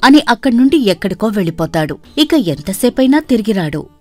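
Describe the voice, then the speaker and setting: A woman narrating a scripted animated story as a storyteller. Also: fairly high pitch, fairly quick, soft background music.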